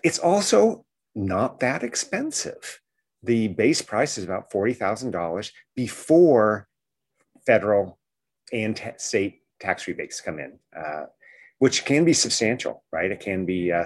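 A man's voice: on the low side, big pitch swings, unhurried. A man co-hosting a podcast, talking casually and enthusiastically with many fillers and restarts. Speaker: podcast co-host.

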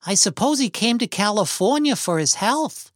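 Seeking an old immigrant wife: sharp tongue, Yiddish-American lilt, high animated delivery, storybook narration. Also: male voice, normal speed, no echo, studio quality. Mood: nagging. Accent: Yiddish-inflected American English. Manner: querulous, rhetorical questioning.

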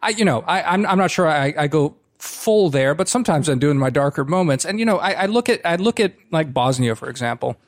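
A man from Croatia co-hosting a podcast, talking in a casual, halting way with many restarts as he thinks aloud. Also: a little high, expressive, no room sound, studio quality. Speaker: podcast co-host. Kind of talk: casual discussion.

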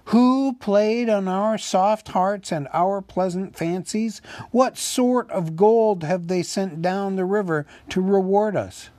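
A man's voice: a little high, expressive, a little slow, darkish tone, dry recording. Man playing a pompous, wily old town master. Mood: indignant. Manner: oratorical, rhetorical questions.

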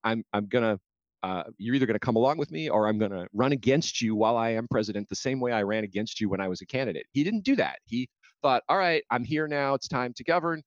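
American man, mid-pitched voice, political interview guest, argumentative, restarts sentences, slightly lo-fi recording. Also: dry recording. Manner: argumentative, halting restarts when rebutting. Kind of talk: lively political debate. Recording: slightly dull, lo-fi audio.

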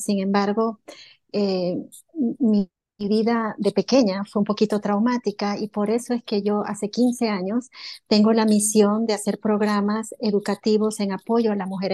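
A woman's voice: mid-range voice, warm tone. A woman from Bolivia answering interview questions in an earnest way, with many filler sounds. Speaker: entrepreneur guest.

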